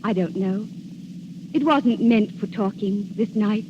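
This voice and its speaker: A woman's voice: medium pitch, a little slow, close to an everyday voice. An actress playing a dreamy, romantic young girl full of wonder, in 1930s radio style. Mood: evasive. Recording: muffled, noisy 1930s radio broadcast.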